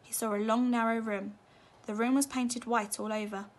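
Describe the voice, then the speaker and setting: A woman's voice: a little high, fairly even intonation, moderate pace. A woman narrating a children's storybook expressively, as a teacher reading at bedtime.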